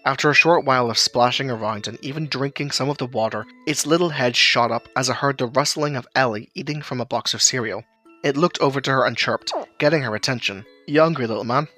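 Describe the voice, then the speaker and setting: A man's voice: medium pitch, a little fast, pushed and bright, faint music behind. A man reading scripted narration for an audio drama as its storyteller.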